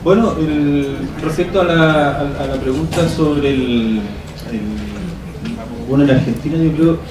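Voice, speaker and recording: A man's voice: a little high, a little slow. A man giving an academic conference presentation. He explains step by step and checks in with the audience through frequent tag questions. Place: conference hall. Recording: echoey hall, distant mic, noisy.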